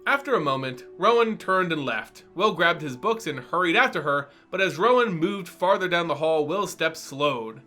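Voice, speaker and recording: A man's voice: fairly high pitch, expressive, moderate pace, hardly any pauses, bright, forceful tone, faint music behind. A man narrating fantasy lore as a host, in a playful, scripted lecturing and storytelling style. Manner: playful lecturer addressing listeners as students. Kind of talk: dramatic story reading and scripted lore commentary.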